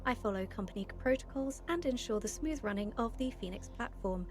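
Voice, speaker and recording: A woman's voice: fairly high pitch, expressive, a little mellow, lightly acted. Unflappable female AI overseer, acted by a woman, stilted and precise, oddly friendly, audio drama, music underneath. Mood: serene, formal. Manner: measured, literal, stilted formal phrasing. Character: formal, unruffled female artificial intelligence overseer, oddly friendly. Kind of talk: improvised audio drama acting.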